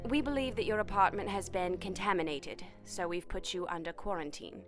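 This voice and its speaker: An actress playing a businesslike quarantine official in an audio drama, measured and evasive in her delivery. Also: fairly low pitch, soft background music, close to an everyday voice. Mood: official, restrained. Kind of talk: naturalistic audio drama acting.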